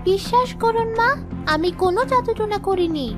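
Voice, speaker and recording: Very high-pitched, gentle young wife. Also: female voice, normal speed, over music. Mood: earnest. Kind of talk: exaggerated cartoon dialogue.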